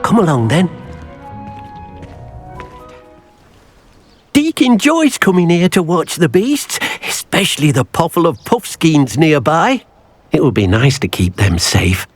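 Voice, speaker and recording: A man's voice: big pitch swings, normal speed, no room sound. An actor voicing a small, timid male house-elf servant in a video game, who talks about himself by name in an eager, fussy way. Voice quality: husky, high squeaky. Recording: game audio with faint music.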